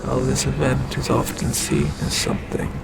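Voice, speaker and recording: A man voicing an ancient, sinister eldritch god in an ASMR roleplay, telling a story in a breathy, creaky voice that often slips into a whisper, with deliberate menace and long pauses. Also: mid-range voice, sing-song, very noisy. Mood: darkly proud.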